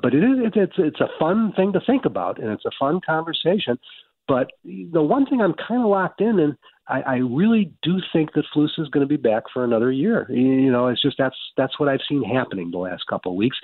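A man's voice: medium pitch, no echo. An American man speaking casually as a football expert guest on a radio interview, hesitating and repeating words as he thinks. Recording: dull band-limited guest phone line.

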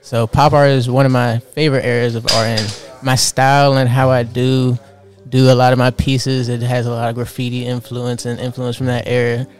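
A man in his twenties, a fashion designer, answering interview questions in a relaxed, earnest way with lots of fillers. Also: medium pitch, moderate pace, a little hiss or hum. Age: 20s.

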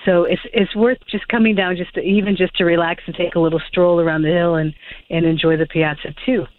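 A woman's voice: lowish voice, level tone, brisk, no echo. A woman author talking as a radio guest over the phone, hesitant, with many fillers and restarts. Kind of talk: phone interview chat. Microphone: telephone. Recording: tinny phone line.

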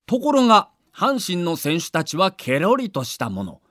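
A man's voice: sits high, wildly swooping pitch, normal speed, fairly bright tone, no echo, clean studio sound. A man narrating a sports documentary in a theatrical, emphatic storytelling manner.

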